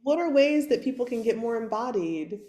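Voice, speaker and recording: A woman hosts a casual live video chat, her voice rising and falling a lot. She asks questions in an animated way, and her voice comes through a video call with plenty of room echo around it. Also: a little high, a little fast. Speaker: host.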